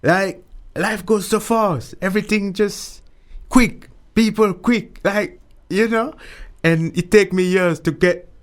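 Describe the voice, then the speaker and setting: Slow-talking man from Mauritius. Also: sits high. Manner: informal, repeats words often. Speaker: interviewee. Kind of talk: casual interview.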